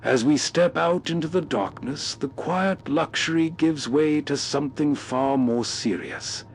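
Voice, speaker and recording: A man hosting with atmospheric scripted narration in measured storytelling phrases. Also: on the high side, slight background noise. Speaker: host.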